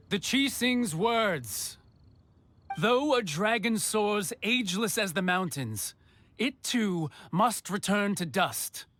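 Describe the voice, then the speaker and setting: Solemn town crier guy, high pitched and slow, grand formal game announcement. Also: fairly bright tone, heavily acted. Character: solemn ceremonial town herald proclaiming to citizens. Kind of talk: video game character acting.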